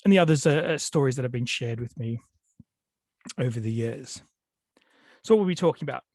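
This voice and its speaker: A man's voice: mid-range voice, animated, brisk, darkish tone, no room sound, clean studio sound. A man with a head cold, giving a storytelling talk over a video call, with lots of fillers and restarts. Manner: hesitant, many fillers and restarts. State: sniffly with a cold.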